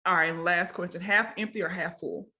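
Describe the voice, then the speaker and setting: A woman hosting a web interview show on a video call, bubbly and quick to laugh. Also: fairly low pitch, fairly even intonation, pushed and bright. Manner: bubbly, laughs often, repeats "very nice". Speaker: host.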